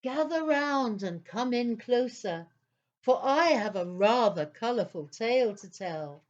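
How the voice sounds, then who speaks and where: A woman playing a patient storyteller in a children's audio play, narrating in a deliberate, expressive, theatrical way. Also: a little high, dull audio. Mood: storytelling. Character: patient female storyteller, gently exasperated with her characters.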